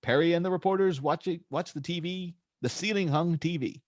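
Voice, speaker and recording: A man's voice: on the high side, a little fast, no room sound, dull audio. A man narrating screenplay stage directions at an amateur table read.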